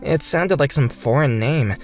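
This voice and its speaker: Woman voicing an animated, earnest young schoolboy. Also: very deep voice, a little slow, soft background music. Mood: bewildered. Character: earnest, bewildered fifth-grade boy narrating his story. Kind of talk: scripted first-person narration in a manga dub.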